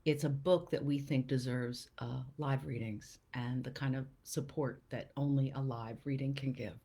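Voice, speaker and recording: A woman's voice: very deep voice, steady tone, normal speed, warm tone, husky. A woman who runs a small press, talking casually about a book and then reading a poem aloud, lingering over its lines. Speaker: poet and small press publisher, show host. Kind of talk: casual talk and poetry reading.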